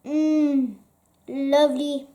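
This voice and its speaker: A woman voicing a hungry, grumpy, excitable male burglar from a children's storybook, dramatic and exclamatory. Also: high voice, very slow. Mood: pleased. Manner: exclamatory, dramatic, self-questioning.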